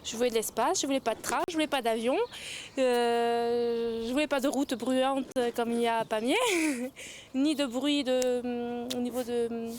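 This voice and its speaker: A woman's voice: fairly high pitch, steady tone, moderate pace, a little mellow, slight background noise. A woman interviewed about moving to a village, listing things in a hesitant way with fillers. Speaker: interviewed newcomer.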